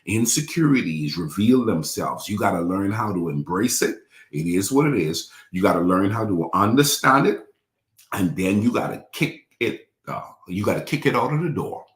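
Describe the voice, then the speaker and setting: A deep-voiced man in his forties preaches with an animated, widely swinging pitch. He repeats his lines and draws the congregation into affirmations. His voice carries a roomy echo. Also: normal speed. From Bahamas. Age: 40s. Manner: repetitive call-and-response preaching, leads congregation in affirmations. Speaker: pastor. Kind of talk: sermon.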